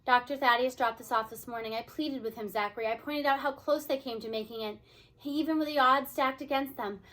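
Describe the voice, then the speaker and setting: Sorrowful woman principal, played by a female reader: subdued, apologetic, bright-edged, storybook drama. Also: sits high, brisk. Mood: sad, pleading. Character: sorrowful, sympathetic female school principal breaking bad news.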